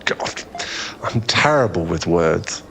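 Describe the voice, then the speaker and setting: Male actor as bashful young suitor for ASMR boyfriend roleplay: low register, earnest, stumbling delivery, noticeable background ambience. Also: expressive, takes it slowly, gravelly, fairly bright tone, a little hiss or hum, full character voice. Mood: earnest, smitten. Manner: hesitant, stammering, earnest, bashful.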